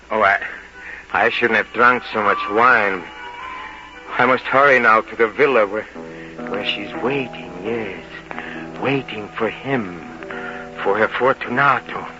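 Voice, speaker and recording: Actor as slow, brooding, jealous radio-drama avenger. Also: male voice, lowish voice, lightly acted. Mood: wistful agitation. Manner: halting, repetitive, theatrical. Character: tipsy, jealous, brooding man bent on bitter revenge. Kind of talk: old-time radio drama monologue. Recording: dull old radio recording, music behind.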